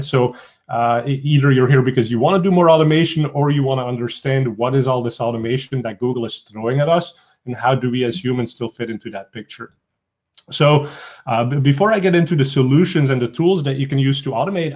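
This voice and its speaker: A man's voice: medium pitch, darkish tone. A man from Belgium with a Belgian Dutch accent, giving a casual webinar presentation full of uh and um fillers. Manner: conversational, frequent uh and um fillers. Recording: dull lo-fi webinar audio.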